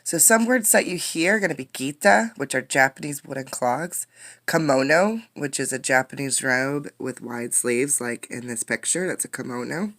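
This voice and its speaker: A woman's voice: extremely low pitch, moderate pace, fairly bright tone. A woman reading a children's picture book aloud as a teacher, expressively, explaining words and putting questions to the listeners. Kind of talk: interactive children's read-aloud.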